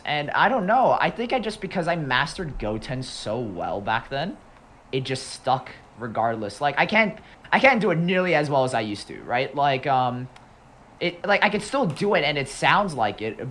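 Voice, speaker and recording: A young man chats casually on a livestream, rambling and circling back to repeat his points. His pitch sits in an ordinary middle range, and a faint hiss runs under his home-mic recording. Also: a bit bright. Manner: rambling, repeats himself, laughs often. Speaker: guest voice actor.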